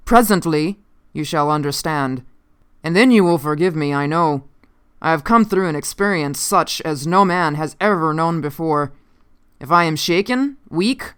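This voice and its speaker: A narrator voicing an uncanny, gaunt male scientist from another time, speaking formally with slow, commanding emphasis in an audiobook. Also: mid-range voice, fairly bright tone, smooth, close to an everyday voice.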